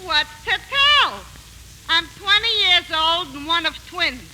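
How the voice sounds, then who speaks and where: An actress playing a brassy, deadpan twenty-year-old fan club president with a flippant, drawling manner in a 1940s radio comedy. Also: female voice, extremely high pitch, slow, exaggerated voice. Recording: bright, lightly noisy 1940s radio recording.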